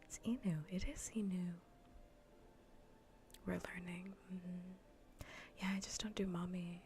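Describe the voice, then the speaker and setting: A woman whispers softly and very slowly into a close ASMR microphone, her voice breathy and on the low side. She chats as a livestream host with a playful, teasing, mock-scolding manner. A faint noise sits behind her. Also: level tone. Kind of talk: soft whispered ASMR gaming stream chat.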